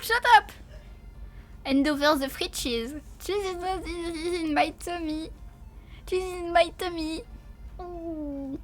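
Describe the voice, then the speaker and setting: A French woman with a French accent chatting casually on a livestream, halting and repeating herself as she playfully protests to viewers. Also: sits high, fairly bright tone. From France. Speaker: livestreamer, VTuber.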